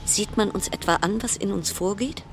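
A woman's voice: mid-range voice, normal speed, slight background noise, natural voice. A woman acting in a radio play as an anxious, sardonic quarantined passenger, delivering a brooding, dramatic interior monologue. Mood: agitated, sardonic.

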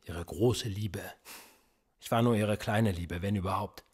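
An actor playing a cold, probing police interrogator in a radio drama, needling and calm. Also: male voice, on the low side, a little slow, slightly rough. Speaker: police investigator.

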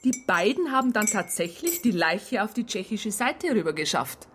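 A woman's voice: mid-range voice, big pitch swings, normal speed, a bit bright, faint music behind. An actress playing an earnest, aggrieved woman who narrates events in a conversational, detailed way with a southern German accent. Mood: exasperated recounting. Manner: conversational, detailed, exasperated storytelling. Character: earnest, aggrieved female doctor recounting a police case. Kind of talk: first-person radio drama narration.

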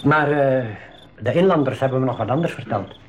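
A man acting a knowledgeable, calm reserve guide in a 1980s school radio drama, explaining things in a measured, articulate way. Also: mid-range voice, muffled recording, slight background noise, close to an everyday voice. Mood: earnest, informative.

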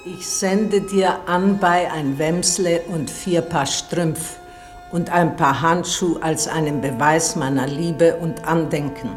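Dutiful, low-voiced old-fashioned mother. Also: fairly even intonation, takes it slowly, faint music behind. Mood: earnest, caring. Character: dutiful, thrifty old-fashioned mother reciting letters and accounts. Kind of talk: radio play letter recitation.

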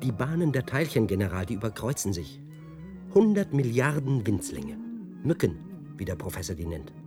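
Schauspieler als spöttisch grübelnder Mann, lebhafte Sprachmelodie, Musik. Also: male voice, medium pitch, big pitch swings, normal speed, over music, lightly acted. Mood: taunting, intense. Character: mocking, brooding male research-centre press officer under interrogation. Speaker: actor in a radio play. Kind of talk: German science-fiction radio drama acting.

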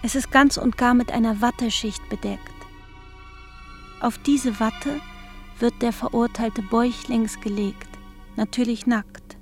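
A woman reading literary prose slowly and deliberately in a radio play. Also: on the high side, darkish tone. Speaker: reader.

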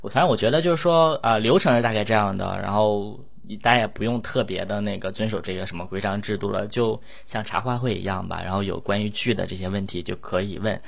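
A man with a lowish voice hosts a relaxed, informal online Q&A. He talks in a playful, teasing and rambling manner, like a lead actor chatting with fans. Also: quick pace, gravelly, hardly any pauses. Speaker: host and lead voice actor of an audio drama. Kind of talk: casual live Q&A hosting.

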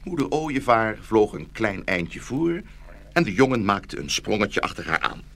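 A man's voice: fairly low pitch, sing-song, a little slow, creaky, a bit bright. A man narrating a scripted fairy tale for children as the storyteller of a radio play.

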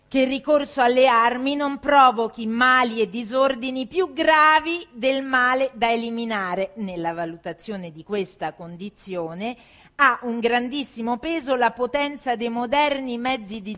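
A woman stage reader giving a formal, solemn reading of a document, deliberately, phrase by phrase. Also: on the high side, animated, a bit bright.

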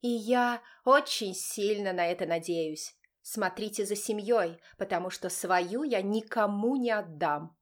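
A woman's voice: medium pitch, measured pace, hardly any pauses, lightly acted. A woman voicing a haughty, cutting, imperious mother guarding her son in an audiobook drama. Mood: cold, disdainful. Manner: cutting, imperious, speaks through clenched teeth.

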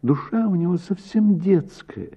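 Man as an earnest, pleading woman, raised pitch. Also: animated, a little slow, dark tone, husky, lo-fi sound, heavily acted.

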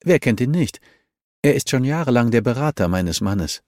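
A man's voice: medium pitch, animated, normal speed, a little mellow, no echo, studio quality, pitched above the performer's own voice, close to an everyday voice. A man voicing a sociable, helpful society wife in an audiobook, gracious and breezy in manner. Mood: wry, helpful. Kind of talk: audiobook character dialogue.

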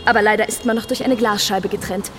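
A woman's voice: medium pitch, steady tone, fast talker, background noise, lightly acted. A woman playing an ironic museum guide, presenting to an audience in a mock-earnest theatrical monologue. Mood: mock-earnest, presentational. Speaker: stage performer. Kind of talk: theatrical monologue in a satirical revue.

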